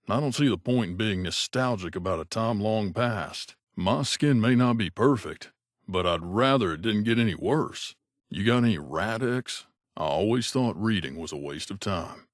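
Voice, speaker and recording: Video game companion, male actor: devoted wasteland gunman, lowish voice, wry, familiar delivery, very dry close studio sound. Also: no room sound, natural voice. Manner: wry, casual, addresses boss familiarly. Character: loyal wasteland gunslinger companion man, wry, devoted to his mother.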